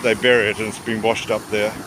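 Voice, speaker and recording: A man giving a casual, rambling travel commentary outdoors by the sea, often pausing mid-sentence. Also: lowish voice, level tone. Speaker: travel vlogger. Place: outdoors on a rocky seashore by tidal pools. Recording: windy outdoor recording with sea noise. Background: wind and waves.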